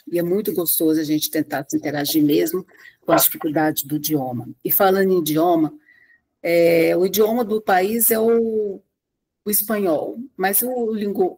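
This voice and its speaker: A woman from Brazil speaks in a slightly low, husky voice. She gives practical, heartfelt advice in a loose, conversational way, often pausing to restart a phrase or add a quick tag question. It sounds like an informal live talk over a computer mic. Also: a little mellow. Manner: earnest, advice-giving, frequent "né?" tags and restarts. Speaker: pilgrim sharing trail tips. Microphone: webcam or computer mic on a live stream.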